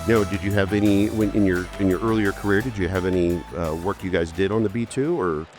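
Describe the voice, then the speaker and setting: A man with a very deep voice, hosting a conversation on a podcast. He asks his questions in a loose, halting way, pausing on fillers and restarting his sentences. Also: steady tone, a little mellow, faint music behind. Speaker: podcast host. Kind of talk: casual interview conversation.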